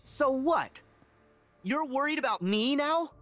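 A man's voice: very high voice, animated, slow pace, a bit bright, dry recording, soft background music, full character voice. An actor playing an earnest, eager teenage boy hero.

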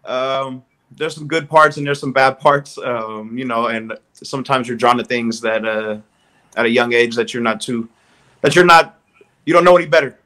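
A man's voice: mid-range voice, normal speed, sharp tone. A man in his thirties from Southern California talks as a guest on a video call. He sounds upbeat and friendly. He often repeats words while he gathers his thoughts. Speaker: guest, strength and conditioning coach. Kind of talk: casual video call interview.

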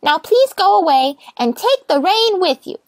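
Woman voicing a high, grumpy little rosebud girl. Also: sing-song, moderate pace, no room sound, studio quality. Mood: upset. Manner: indignant, emphatic, refusing. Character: small grumpy female rosebud who wants quiet. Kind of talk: children's storybook character voice.

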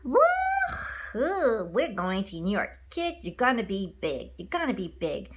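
A woman co-reading a children's storybook, with excitable, playful asides and repeated phrases. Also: mid-range voice, measured pace, slightly rough. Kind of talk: animated read-along storytelling with playful asides.

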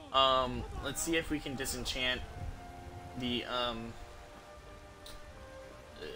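A man's voice: mid-range voice, poor audio. A man in his thirties giving casual, sweary game commentary, thinking aloud as he plays on a stream. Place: indoors at home, streaming setup. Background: game music.

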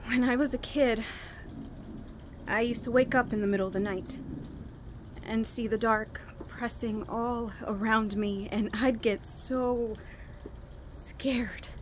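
A woman playing a despairing, bitter young murderess, halting and brooding, in an old-style radio horror drama. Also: a little high, steady tone, slight background noise. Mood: terrified. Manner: halting, brooding, with anguished emphasis. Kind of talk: melodramatic 1940s-style radio horror acting.

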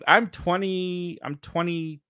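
Mid-twenties male host for a casual live chat; upbeat, filler-heavy, a touch high, dry home-studio sound. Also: fairly even intonation, sharp tone, clear, resonant tone, dry recording. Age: 20s. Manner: chatty, quick and upbeat, with frequent fillers. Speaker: livestream host. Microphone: boom mic in front of face.

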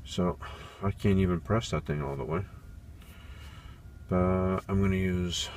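A man's voice: deep voice, measured pace, a little mellow, slight background noise. A man in his forties making a casual vlog inside a small camper van, thinking aloud with fillers and restarts as he works. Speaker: van life vlogger.

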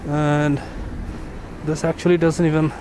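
A man with a somewhat high voice talks his way through a walking tour in a loose, musing manner, with pauses between remarks. He is outdoors on a city street with a camera mic. Passing cars and street noise sit clearly behind him. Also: level tone. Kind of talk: casual walking commentary. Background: traffic and street ambience.

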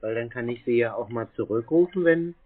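Male caller, mid-pitched, casual and persistent with questions, narrow telephone audio. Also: lively intonation, fairly quick. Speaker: scambaiter posing as customer. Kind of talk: casual phone conversation. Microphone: telephone call. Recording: narrow phone-like call audio.

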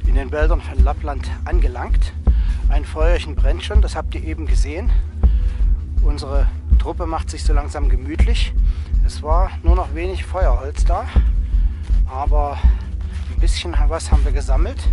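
A man narrates a winter hike in a casual, wry way with playfully ornate wording. He is out in the open, talking from behind a handheld camera, now and then to his companions. Music plays clearly behind him. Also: a little high, low-quality recording. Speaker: vlogger narrating an outdoor hike. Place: outdoors in a snowy forest by a campfire. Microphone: handheld camera, speaker behind it.

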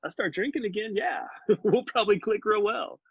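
Husky forties man on a video call. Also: high voice, normal speed. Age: 40s. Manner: earnest, animated, gestures while talking. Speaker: podcast guest. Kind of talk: casual conversational interview. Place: home living room with bookshelves. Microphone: video call, laptop mic. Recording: muffled dry video call audio.

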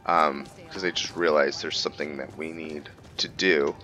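Male gaming host, very low pitch, casual let's play commentary, close mic, soft game music behind. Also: steady tone, normal speed.

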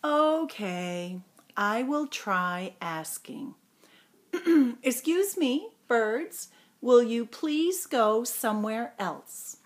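An exasperated storybook elephant, acted out by a woman, asks in disbelief. The voice is fairly high and swoops widely, with slow, drawn-out exclamations. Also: lively intonation, full character voice. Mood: relieved, polite. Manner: exclaiming, incredulous questions. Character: distressed, incredulous, exasperated male cartoon elephant from a picture book.

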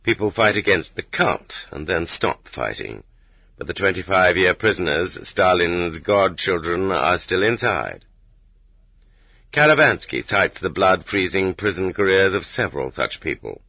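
Husky low-voiced male audiobook narrator. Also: expressive, no room sound. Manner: measured, dramatic delivery with rhetorical emphasis. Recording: slightly muffled, dull cassette audiobook.

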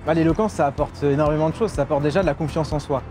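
A man's voice: a little high, racing. A young man, a student and debate society president, talking fluently and thoughtfully in an interview. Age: young adult. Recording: music bed under the voice.

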